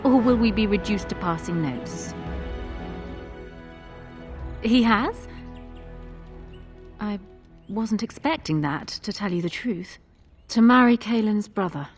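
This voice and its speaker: A shrewd, regal fantasy game queen. Her fairly high voice is poised, cool and dryly formal, with soft music behind. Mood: dry, surprised. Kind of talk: measured fantasy game acting.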